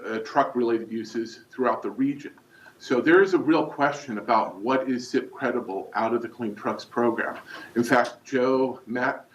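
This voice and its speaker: A man's voice: medium pitch, a little hiss or hum. Guy giving formal comment at a hearing, muffled echoey room. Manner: measured, policy-minded, argumentative. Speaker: industry representative giving public comment. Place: public meeting hall. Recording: roomy, distant, dull hall mic.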